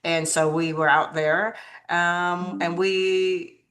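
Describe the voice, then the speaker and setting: A woman in her fifties from Vietnam with a Vietnamese accent, telling her life story as an interview guest, halting with many fillers and restarts. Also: on the low side, level tone, fairly bright tone. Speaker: martial arts master guest.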